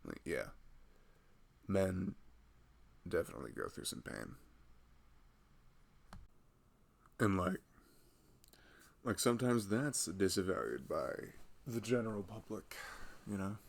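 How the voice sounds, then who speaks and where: A man playing a loving, protective husband in an intimate ASMR roleplay, soft-spoken and chatting in a rambling, casual way. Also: low-pitched, sing-song, measured pace, gravelly, long pauses, full character voice. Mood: earnest.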